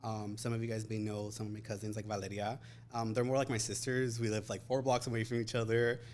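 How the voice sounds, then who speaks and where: A man's voice: lowish voice, level tone, fast, darkish tone, slight background noise. A young man talking as an interview guest, casual and chatty with plenty of fillers and easy laughter. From Mexican-American family, grew up in US. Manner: laid-back, chatty, lots of fillers and laughs. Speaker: guest, content creator and photographer.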